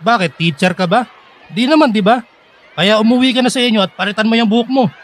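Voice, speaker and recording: A man's voice: sits high, fast, slight background noise, close to an everyday voice. A Filipino man acting a strict but polite school security guard in a radio drama. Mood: stern.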